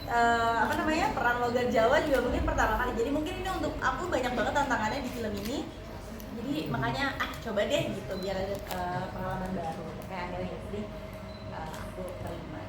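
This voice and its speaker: A woman's voice: on the high side, fast talker, distant mic. A woman actress answering press questions casually, with lots of fillers and laughter. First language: not a native Javanese speaker. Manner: chatty, laughs often, many fillers. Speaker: lead actress at a press conference. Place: crowded press event hall. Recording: echoey hall, mic from afar, loud noise.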